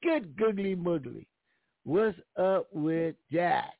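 A man's voice: high voice, animated, takes it slowly, vocal fry, no echo. A man hosting a casual radio chat, joking playfully and repeating his phrases for effect. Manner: playful, repeats phrases and old-fashioned mock exclamations. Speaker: radio show host. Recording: tinny phone-like line.

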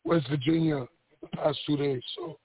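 A man from New York who has lost his voice, answering interview questions in a raspy, halting way with many pauses. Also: a little high, wildly swooping pitch, no room sound. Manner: halting, many ums and repeats. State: hoarse, lost voice. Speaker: guest wrestler. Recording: tinny phone line.

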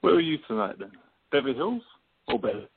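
A man's voice: mid-range voice, big pitch swings, quick pace, slightly rough, no room sound. A man acting a sarcastic, protective young best friend in an amateur radio play, teasing and exclamatory. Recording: muffled, thin phone-line audio.

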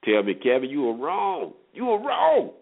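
A man's voice: on the high side, wildly swooping pitch, moderate pace. A man hosting a solo sports show, giving informal commentary and repeating phrases for emphasis. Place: solo internet radio show. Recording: muffled phone-like internet radio audio.

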